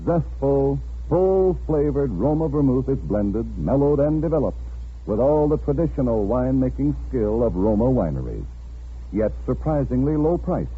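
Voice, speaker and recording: An American man announcing commercials in the polished selling style of 1940s radio, with a husky voice. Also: medium pitch, very animated, moderate pace. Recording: tinny band-limited 1940s radio broadcast.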